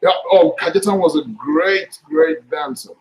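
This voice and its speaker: Gravelly man on video call. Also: fairly high pitch, moderate pace, poor audio. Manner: relaxed, laughs often, jokey. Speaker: guest actor. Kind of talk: casual interview over video call. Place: indoors, room with curtains. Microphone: webcam mic on video call.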